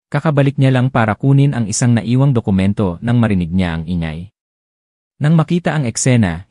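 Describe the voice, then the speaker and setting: A man narrating a dramatic audio drama with expressive, emotional delivery. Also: fairly low pitch, dry recording, studio quality.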